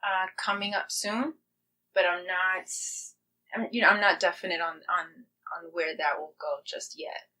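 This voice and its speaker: Female interview guest, slightly low pitch, forward bright tone, informal and upbeat. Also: fairly even intonation, unhurried, poor audio. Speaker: featured playwright and poet, interview guest. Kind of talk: casual chat.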